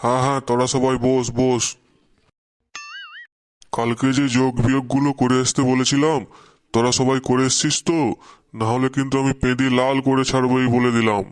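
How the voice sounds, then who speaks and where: Brief: gruff scolding teacher, man acting, cartoon, Bengali accent, flat dry read. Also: mid-range voice, brisk, pauses often, dry recording, natural voice. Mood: stern. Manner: scolding, threatening. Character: scolding middle-aged schoolteacher, strict and exasperated.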